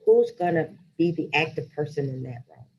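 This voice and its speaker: A woman's voice: deep voice, lively intonation, a little fast. A woman speaking as a director in a formal virtual meeting, direct in manner. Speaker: board director. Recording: muffled lo-fi call, faint noise.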